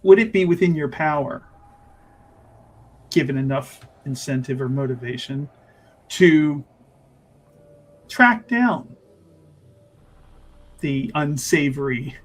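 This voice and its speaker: Casting: male player as probing female investigator, coy and insinuating, raised pitch, husky, fantasy roleplay. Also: poor audio. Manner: teasing, insinuating, theatrically polite. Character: sly, probing female investigator, coolly flirtatious and teasing.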